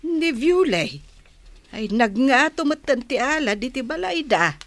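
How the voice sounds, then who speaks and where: A woman's voice: high-pitched, big pitch swings, unhurried, full character voice. A woman playing a concerned older mother giving advice in a radio drama. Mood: curious, concerned.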